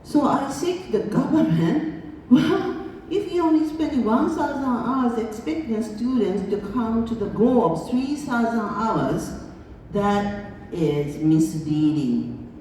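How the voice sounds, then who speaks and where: Japanese woman, slow, mellow voice, chatty lecture style, distant in a big echoey hall. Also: a little high, animated, slight background noise. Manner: slow, chatty, laughs along, acts out little dialogues. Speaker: international school board chair giving a lecture. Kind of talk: conference lecture. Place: large conference hall. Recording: echoey hall, voice heard from far off.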